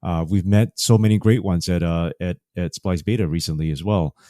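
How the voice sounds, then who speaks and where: A man's voice: sits low, normal speed, dark tone, no echo, studio quality. A man interviewing a guest on a podcast in a conversational style.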